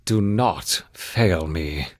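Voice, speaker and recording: A man playing a gleeful, obsessive mad scientist in a video game, with a husky voice and a theatrical, coaxing, menacing delivery. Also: low voice, expressive, very slow, full character voice. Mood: scheming. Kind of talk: video game character monologue.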